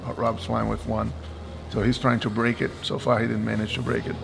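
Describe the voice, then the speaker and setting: A man's voice: medium pitch, completely flat delivery, fairly quick, gravelly, over music, rough recording. A man from Brazil with a Brazilian accent, an aerospace professor and pilot explaining things casually.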